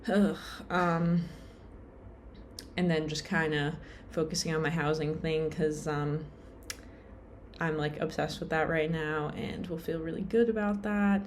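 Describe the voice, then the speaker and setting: Low-voiced woman rambling casually. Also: steady tone, measured pace, slight background noise. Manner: rambling, lots of "like" and "um", self-deprecating. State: tired. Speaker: vlogger.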